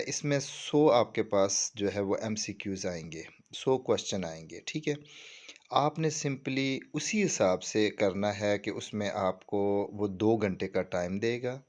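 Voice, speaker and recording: Low-voiced Pakistani man explaining step by step. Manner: explanatory, repeatedly checking the listener follows. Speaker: tutorial presenter. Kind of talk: informal screen walkthrough.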